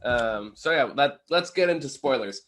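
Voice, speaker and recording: A man's voice: medium pitch, animated, normal speed, fairly bright tone. A man co-hosting a casual film review chat, speaking informally and hedging as he trails into asides.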